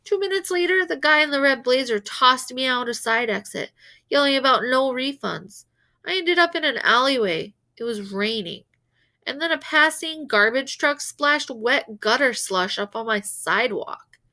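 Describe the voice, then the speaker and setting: Bright-voiced woman reading a story aloud. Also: sits high, normal speed. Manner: animated, acts out characters' dialogue. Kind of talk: expressive children's novel read-aloud.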